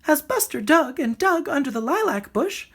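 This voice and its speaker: A cheerful little female bird who teaches school, acted out by a woman reading a storybook. The voice jumps up high and swings around in a chirpy singsong. It is encouraging and full of exclamation. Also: very animated, normal speed, fairly bright tone, exaggerated voice. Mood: storytelling.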